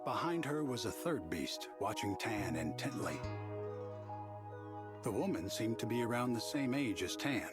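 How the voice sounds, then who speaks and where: An actor playing a composed narrator, telling a fantasy game tale in a measured, literary style. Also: medium pitch, sing-song, loud music behind the voice. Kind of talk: scripted narration.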